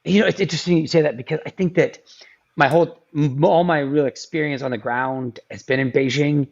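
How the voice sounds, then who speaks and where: A man's voice: on the high side, fairly even intonation, a little fast, muffled recording. A man as a podcast guest, chatting casually with many ums and restarts. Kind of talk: casual interview chat.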